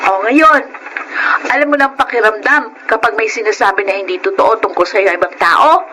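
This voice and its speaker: A woman playing a cheeky, competitive, quick-tempered schoolgirl daughter in a Filipino drama, with a Filipino accent. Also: mid-range voice, pushed and bright, a little hiss or hum, close to an everyday voice, poor audio. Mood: taunting, defiant. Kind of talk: emotional Filipino TV drama acting.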